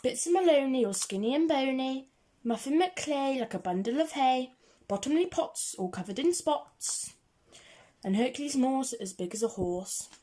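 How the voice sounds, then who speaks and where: A woman's voice: high voice, animated, normal speed, warm tone, slight background noise. A woman reading a children's picture book aloud, with a rhythmic, singsong delivery of the rhyming verse. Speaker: reader of children's picture books. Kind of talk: storybook read-aloud.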